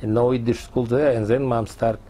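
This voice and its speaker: A man in his sixties from Tajikistan, a Persian speaker, recounting his life in halting, fragmented English in an oral history interview. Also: medium pitch, steady tone, warm tone. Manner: halting non-native English in short fragments.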